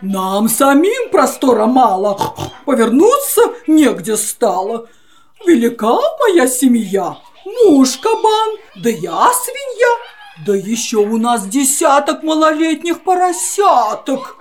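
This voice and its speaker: A woman's voice: high voice, sing-song, slow pace, full character voice. A woman playing a bossy, self-satisfied mother pig in an audio play for children. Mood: dismissive.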